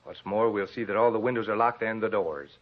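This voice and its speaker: An actor playing a patronizing husband, soothing and dismissive, in the coaxing manner of 1940s radio drama. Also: male voice, on the low side, fairly quick, lightly acted. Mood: coaxing, reassuring. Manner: condescending, coaxing. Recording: muffled old radio broadcast recording.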